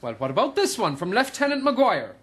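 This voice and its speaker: An actor playing a young impostor with affected gentlemanly manners and a husky edge, in a radio drama. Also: male voice, sits high, huge pitch swings, brisk, slight background noise, full character voice. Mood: exasperated. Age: young adult. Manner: courteous, affected gentility. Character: eager young Irish impostor posing as a lord.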